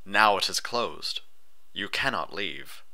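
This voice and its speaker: A man's voice: sits low, animated, takes it slowly, creaky, pushed and bright, exaggerated voice. A man voicing a dispassionate ghostly unicorn spirit, speaking in solemn pronouncements for an audiobook story. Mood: cold, solemn. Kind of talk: audiobook character voice.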